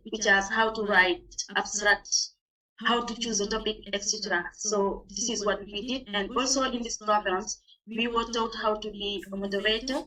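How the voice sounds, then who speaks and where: A woman from Senegal with a Senegalese accent, a non-native English speaker, gives a halting, informal presentation over an online meeting as a teacher on a panel. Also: medium pitch, pushed and bright. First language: not English. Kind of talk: informal spoken presentation of own experience. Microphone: video call. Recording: distant online meeting microphone.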